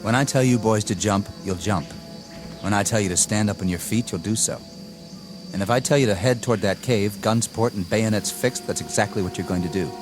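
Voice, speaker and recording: An actor playing an arrogant, inexperienced army lieutenant in a radio drama, with a clipped, formal, commanding manner. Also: male voice, lowish voice, brisk, close to an everyday voice. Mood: stern. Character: arrogant, rigid, inexperienced young army lieutenant, eager for combat. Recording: dramatic music under the dialogue.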